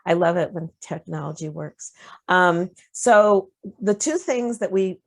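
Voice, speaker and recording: A woman presenting her framework and leading an online workshop discussion, talking in a relaxed, conversational way with many fillers. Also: fairly low pitch, unhurried, vocal fry. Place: video call.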